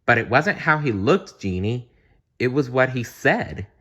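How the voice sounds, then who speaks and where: A man voicing a kind, playful young princess in a gentle, earnest storytelling manner for a bedtime storybook. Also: medium pitch, very animated, unhurried, highs cut off, pitched above the performer's own voice, exaggerated voice. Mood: fond, earnest.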